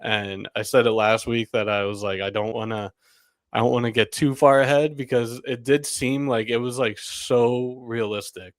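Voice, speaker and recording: Young man hosting a sports podcast, clear and dry. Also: medium pitch, steady tone, normal speed, no echo. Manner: rambling, lots of "like" fillers, laughs often. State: stuffy, under the weather. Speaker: podcast host. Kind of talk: casual sports podcast chat.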